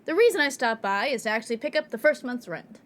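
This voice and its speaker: A woman's voice: a little high, lively intonation, quick pace, lightly acted. A woman playing a prim young landlady, dry and dismissive, in a comedy radio play. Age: young adult.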